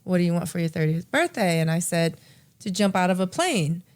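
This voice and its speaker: A woman's voice: on the low side, expressive, fairly quick. A woman in her thirties co-hosting a podcast and chatting casually with her husband. She is easygoing and teasing, and she laughs often. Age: 30s. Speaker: podcast co-host.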